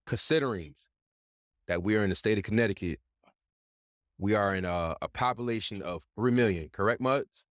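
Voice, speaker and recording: Male podcast guest, lowish voice, dry sound, informal slang, seeks agreement as he goes. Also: moderate pace, long pauses, no echo. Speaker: podcast participant. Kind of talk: casual conversation, slangy.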